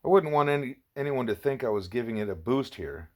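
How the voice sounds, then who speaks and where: A man's voice: mid-range voice, expressive, fairly quick. Man reading a first-person novel aloud. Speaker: audiobook narrator.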